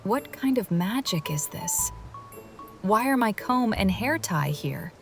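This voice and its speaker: A woman's voice: medium pitch, expressive, takes it slowly, gravelly, soft background music. A woman reading a scripted first-person game story, moving between narration and dialogue. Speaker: voice-over reader of a game story.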